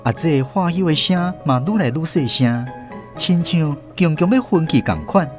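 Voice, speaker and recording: Male radio narrator, Taiwanese accent, mid pitch, very lively intonation, expressive storytelling, faint music behind. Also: normal speed.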